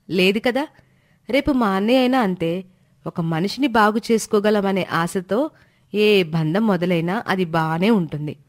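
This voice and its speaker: A woman voicing a sincere, supportive young bride-to-be in an animated moral story, reasoning gently and earnestly. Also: mid-range voice, natural voice.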